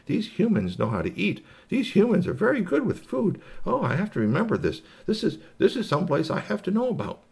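A man's voice: high-pitched, huge pitch swings, gravelly, darkish tone, slightly rough, pitched above the performer's own voice, full character voice. A man voicing an eager, polite space alien who loves food, exclaiming with wonder in a children's story. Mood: amazed. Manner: exclaiming, wonder-struck, drawn-out oohs.

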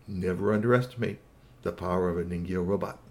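A man voicing a calm, wise father who speaks in a measured, reassuring way. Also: low-pitched, vocal fry.

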